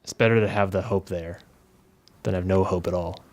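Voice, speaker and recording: A man acts out an awkward, consoling male friend in a tabletop game. His voice is fairly low and his words come haltingly and tentatively. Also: steady tone.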